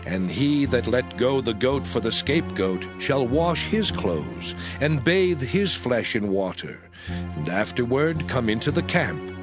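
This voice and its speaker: A male narrator reads scripture in a solemn, expressive way, his voice rising and falling widely at a mid pitch. Clear music plays behind him throughout. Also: measured pace.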